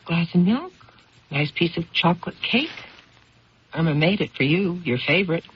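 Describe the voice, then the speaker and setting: A dull vintage radio recording of an attentive wife. Her voice is very breathy and husky, with lively rises and falls as she coaxes with concern. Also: on the low side, moderate pace. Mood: solicitous. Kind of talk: 1970s radio drama acting.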